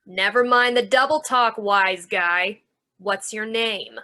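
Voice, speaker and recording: A woman's voice: mid-range voice, slow, bright, forceful tone. A woman playing a tough, suspicious carnival manager, brusque and slangy, in old-time radio noir style. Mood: needling, threatening.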